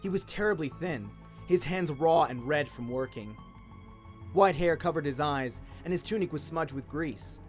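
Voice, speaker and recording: Higher-pitched male storyteller over music. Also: lively intonation, normal speed. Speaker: audiobook narrator. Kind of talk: dramatic scripted story narration.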